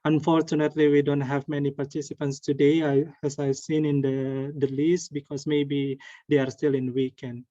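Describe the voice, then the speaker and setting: A young man from Indonesia giving an earnest online presentation over a video call, with pauses and restarts. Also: on the high side, flat delivery, dark tone, dry recording. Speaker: webinar presenter. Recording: dull lo-fi call audio.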